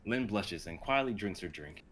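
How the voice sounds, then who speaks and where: A man's voice: deep voice, lively intonation, moderate pace, fairly bright tone, slight background noise. A young man giving a dramatic narration of an amateur script. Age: young adult. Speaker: narrator, stream performer.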